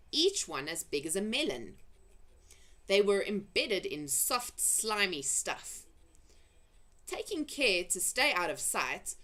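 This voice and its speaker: A woman narrates a children's story with expressive, dramatic phrasing. Her voice is medium in pitch and bright, with widely swinging intonation. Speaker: storybook reader.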